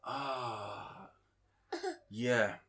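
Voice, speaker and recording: A man, a guest in a casual interview who talks with many pauses, ums and restarts. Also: mid-range voice, vocal fry, bright, forceful tone. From Poland.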